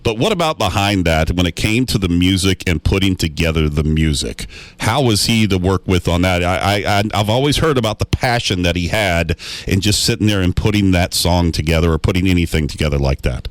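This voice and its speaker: A man with a deep voice hosting a podcast, asking long, rambling questions full of fillers. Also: animated, brisk, dry recording. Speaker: podcast host. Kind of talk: casual interview questions.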